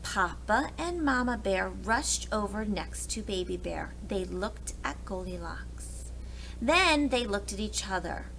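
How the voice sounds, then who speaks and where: A woman reads a picture-book tale to young children in a highly expressive, bright voice. Her phrasing is slow, dramatic and deliberate, over faint background noise. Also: vocal fry, rough recording. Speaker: preschool read-aloud storyteller. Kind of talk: expressive children's story narration.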